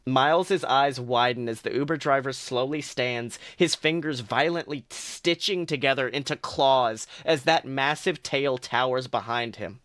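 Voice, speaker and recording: A male podcast host reading his fan fiction aloud in lively, dramatic narration. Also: mid-range voice, measured pace, few pauses, no echo.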